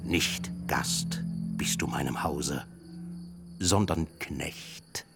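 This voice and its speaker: An actor playing a stern, hard-bargaining middle-aged uncle and master, pedantic and scornful, in a literary radio drama. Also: male voice, extremely low pitch, very slow, vocal fry, heavy background noise, full character voice. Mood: cold, calculating. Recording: music behind the voice.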